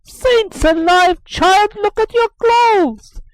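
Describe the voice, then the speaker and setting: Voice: very high-pitched, slow pace, voice pushed up, poor audio. A reader playing a scolding old nursemaid. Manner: scolding, exclaims on saints. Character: fussy superstitious old nursemaid woman. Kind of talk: audiobook character voice.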